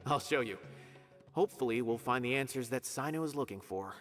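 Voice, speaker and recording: A man's voice: mid-range voice, animated, a little fast, lightly acted. A man playing a polite, earnest scholar in a fantasy video game, explaining things courteously. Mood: informative. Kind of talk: scripted game dialogue. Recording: game audio over music.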